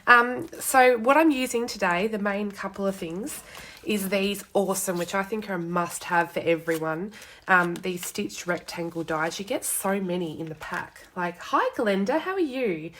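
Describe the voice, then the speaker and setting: A young woman with a cold and a hoarse, snuffly voice chats casually through a live card-making demo at her home desk, greeting viewers with lots of ums. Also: mid-range voice, normal speed, fairly bright tone.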